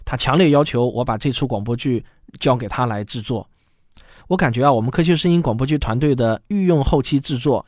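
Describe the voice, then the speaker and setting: A male podcast host making scripted announcements to listeners. His voice is medium in pitch and clearly emphatic, captured close with a dry sound. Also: dry recording.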